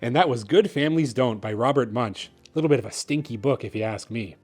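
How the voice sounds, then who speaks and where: A man hosting and narrating a children's bedtime story in an expressive, theatrical way. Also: mid-range voice, quick pace, over music. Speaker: host reading children's books aloud.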